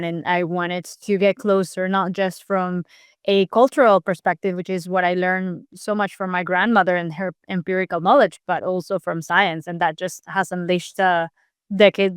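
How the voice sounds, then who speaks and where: A woman from Peru speaks with a very clean, smooth voice, recorded dry and close with no room sound. She is a scientist answering interview questions, explaining at length with occasional restarts. Her delivery stays earnest and steady. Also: on the low side, dry recording. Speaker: scientist guest.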